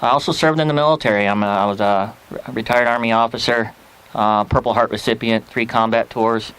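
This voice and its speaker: A man from the Philippines, an army veteran, giving a personal speech at a rally in a halting way with many pauses and fillers. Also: mid-range voice, fairly even intonation, fairly bright tone, clear, resonant tone, poor audio.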